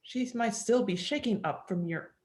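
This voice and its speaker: A woman's voice: on the high side, normal speed, a little mellow, natural voice. A woman playing a wry, teasing childcare coworker with a dry manner in a dramatic script reading. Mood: gently encouraging. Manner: dry, sardonic.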